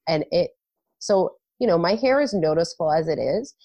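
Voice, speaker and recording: Woman co-host with a cold, nasal and stuffed up, dry close mic, lively chat. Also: on the low side, normal speed, dry recording. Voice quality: a little nasal. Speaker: podcast co-host. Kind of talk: casual podcast chat.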